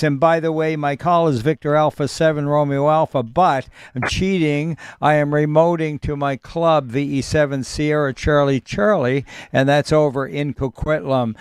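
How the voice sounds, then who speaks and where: A man with a slightly higher voice runs an amateur radio net. He talks in a friendly, folksy way, with plenty of "uh"s and relaxed pauses between call signs. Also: clean tone, dry recording, clean studio sound. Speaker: net control host of a ham radio net. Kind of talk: radio net operating chat.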